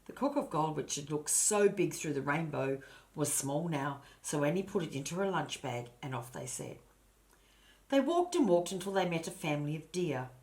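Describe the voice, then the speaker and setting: Older female storybook narrator, low register, grandmotherly and expressive, speaking directly to children. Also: moderate pace. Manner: grandmotherly, addresses listeners and points out pictures. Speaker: storybook reader.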